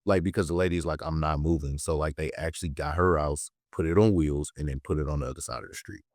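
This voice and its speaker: A man's voice: extremely low pitch, fairly even intonation, fast, a little mellow, no echo, studio quality. A nineteen-year-old man from the United States talking casually as a podcast guest, telling stories in slangy speech with emphatic repetition. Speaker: podcast guest, political educator and content creator. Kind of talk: casual conversational storytelling.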